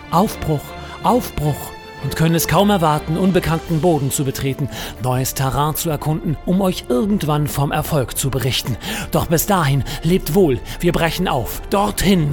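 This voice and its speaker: A man's voice: fairly high pitch, natural voice. A man playing a wordy, grandiose office adventurer in a comedic audio drama. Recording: music under the voice. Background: music.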